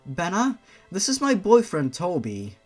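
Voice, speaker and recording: A man's voice: sits high, huge pitch swings, a little slow, soft background music, exaggerated voice. Man reading as an earnest, protective big sister. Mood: tender reassurance. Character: fierce, protective older sister, doting young woman.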